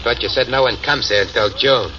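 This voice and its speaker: A man's voice: medium pitch, brisk, bright, forceful tone, close to an everyday voice. An actor playing a ruthless, greedy male gang boss in an old radio drama, speaking in a menacing, threatening way. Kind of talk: 1940s radio acting. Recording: distant, lo-fi, noisy old radio recording.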